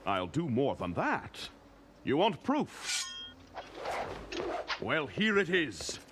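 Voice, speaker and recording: A man voicing a calm, wry, centuries-old immortal mentor in a cartoon, urbane and composed. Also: a little high, very slow, noisy background, heavily acted. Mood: assured. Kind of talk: animated series acting. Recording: music under the voice.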